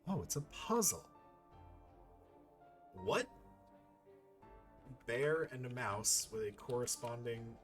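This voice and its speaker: A man's voice: mid-range voice, very animated, slow pace, long pauses. Man's wry gaming commentary over music. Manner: wry, joking asides. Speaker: video game player and host. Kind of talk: casual let's-play commentary.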